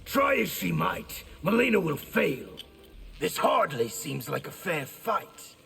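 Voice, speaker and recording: Arrogant rebel warrior, reverberant. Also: male voice, fairly high pitch, very animated, slow pace, creaky, fairly bright tone, heavily acted. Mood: scornful. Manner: boastful, contemptuous. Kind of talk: video game fight intro dialogue. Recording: long hall reverb, music behind.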